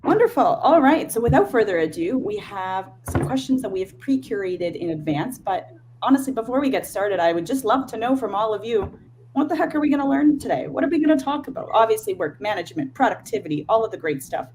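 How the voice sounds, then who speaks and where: A woman's voice: fairly high pitch, sing-song, a little fast, a little mellow, slight background noise. A woman hosting an online panel discussion in an informal, effusive and chatty manner. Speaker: event host and panel moderator.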